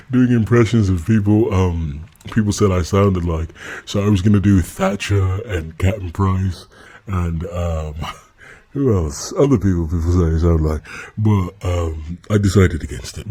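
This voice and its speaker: A man answers his subscribers' questions in a loose, chatty way. His voice sits very low and has a husky, breathy grain. He is frank and blunt, and he laughs often as he talks. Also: dark tone. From England. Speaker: YouTube channel host. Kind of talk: casual subscriber Q&A.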